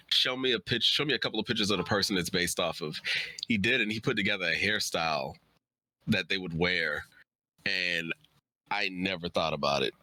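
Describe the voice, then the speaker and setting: A man's voice: deep voice, bright, forceful tone, no echo, poor audio. A man chatting informally and effusively as a co-presenter on a casual livestream. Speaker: writer and co-presenter.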